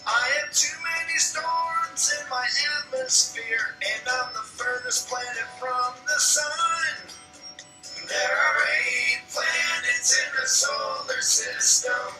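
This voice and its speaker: A singer performing an educational children's song at a slow pace. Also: high-pitched. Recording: echoey, distant, music behind.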